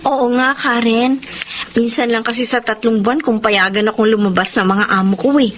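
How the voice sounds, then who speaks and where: A woman's voice: fairly high pitch, fairly even intonation, moderate pace, natural voice. A woman playing a patient, self-sacrificing young housemaid working abroad in a Filipino radio drama. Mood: weary, resigned. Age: young adult.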